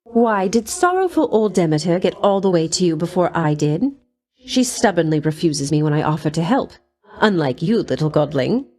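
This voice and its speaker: An actress playing a flirtatious, doting goddess of love in a video game, with a coy, honeyed, theatrical delivery. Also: female voice, fairly low pitch, big pitch swings, normal speed, darkish tone, faint music behind. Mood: sympathetic. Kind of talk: video game character voice lines.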